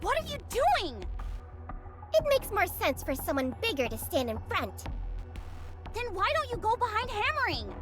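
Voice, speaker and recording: A woman's voice: very high-pitched, huge pitch swings, moderate pace, long pauses, loud music behind the voice, heavily acted. A woman voicing a small, bossy, protective young female squad member in a video game, blunt and businesslike. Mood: protective, scolding.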